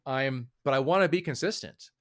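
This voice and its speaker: A man's voice: mid-range voice, moderate pace, fairly bright tone. A man as a podcast guest, explaining his views in a hedging, thoughtful way with many fillers. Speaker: author and guest. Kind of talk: casual interview talk.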